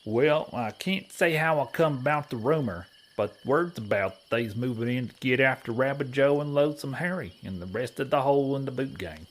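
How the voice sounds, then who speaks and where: A man's voice: medium pitch, moderate pace, hardly any pauses, close to an everyday voice. A man playing a dim-witted, good-natured cowboy prospector in a western audio comedy, with a rural American drawl and folksy bad grammar. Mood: earnest.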